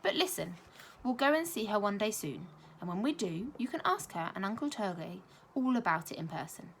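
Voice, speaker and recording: A woman voicing a gentle, patient mother in a children's story, speaking softly and reassuringly. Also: medium pitch, sing-song, normal speed, a little hiss or hum.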